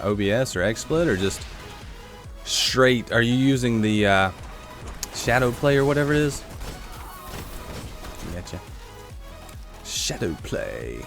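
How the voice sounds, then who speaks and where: A man giving casual gameplay commentary while playing a shooter, with a congested throat he keeps clearing, chatting to viewers and reacting aloud. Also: lowish voice. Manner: chatty, reacts aloud to the game, answers chat. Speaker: gaming YouTuber.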